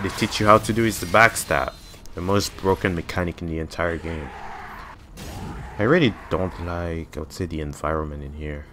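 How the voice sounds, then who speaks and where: A man's voice: sits low, a bit bright, a little hiss or hum. A man in his twenties, a native French speaker with a French accent, giving casual, blunt gameplay commentary and swearing freely. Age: 20s. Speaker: gamer.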